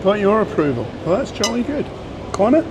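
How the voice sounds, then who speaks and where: A man's voice: high voice, sing-song, a little fast. A man hosting a restaurant review vlog, chatting casually and teasing his friends at the table. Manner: teasing, joking banter. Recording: loud street noise behind.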